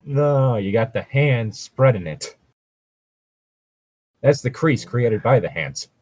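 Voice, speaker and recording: A man's voice: mid-range voice, lively intonation, slow pace, darkish tone. A man with a rambling, sweary, giggly way of talking, hosting a comedic show of casual banter and reading aloud. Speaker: host of a bad fan fiction reading show.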